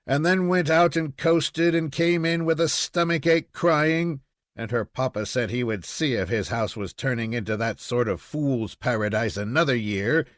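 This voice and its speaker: A man's voice: medium pitch, dry recording. Man narrating a storybook, dry close sound. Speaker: audiobook reader.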